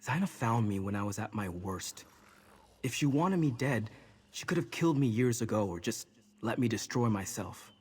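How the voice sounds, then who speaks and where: Earnest, low-pitched mage. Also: male voice, normal speed, breathy edge. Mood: earnest, reassuring. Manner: calm, earnest, explanatory. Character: earnest, steady male mage guiding younger companions. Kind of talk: fantasy game acting.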